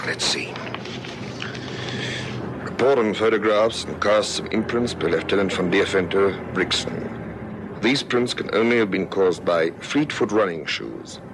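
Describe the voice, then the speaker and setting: Low-voiced South African police detective, noisy recording. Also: male voice. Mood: thoughtful. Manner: brisk, questioning, dry. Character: dogged, sceptical male police detective lieutenant. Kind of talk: vintage radio police drama acting. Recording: noisy vintage radio drama recording.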